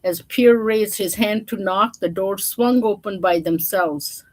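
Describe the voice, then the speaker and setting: A woman reads a children's picture book aloud at a slow, unhurried pace. She moves between narration and casual explanation, chatting to her listeners about the pictures. Her pitch sits in a middle range for a woman. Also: darkish tone, rough recording. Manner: informal, chatty asides to listeners, showing pictures. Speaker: storyteller.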